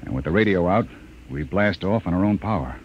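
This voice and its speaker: A stern spaceship captain from an old radio space drama, clipped and easily exasperated. His lowish voice moves with lively inflection through a muffled, noisy vintage recording. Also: fairly quick. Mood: commanding. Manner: clipped, commanding. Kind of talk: old-time radio science fiction acting.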